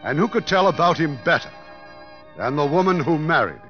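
A man's voice: fairly high pitch, lively intonation, fairly quick, close to an everyday voice. A man with an American accent giving solemn scripted narration in 1950s radio style. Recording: muffled vintage radio broadcast, music behind.

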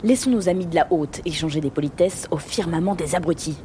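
A man's voice: on the high side, a little fast, warm tone, slight background noise, natural voice. A man playing a cool, sardonic revolutionary plotter in a satirical audio drama. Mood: dry, conspiratorial. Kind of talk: satirical audio fiction acting.

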